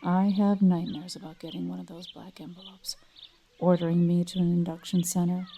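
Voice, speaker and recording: A woman's voice: fairly low pitch, level tone, brisk, gravelly, warm tone, slight background noise. An actress playing an anxious but resigned suburban mother in an audio drama, speaking in an earnest, conversational way. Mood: fearful resignation.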